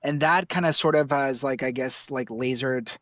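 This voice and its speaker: A man's voice: mid-range voice, normal speed, no echo, lo-fi sound. A man who grew up in Ecuador, a guest artist chatting casually with many fillers over a remote call. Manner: relaxed, rambling with many fillers. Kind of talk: casual interview chat. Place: home room in hot city with air conditioning.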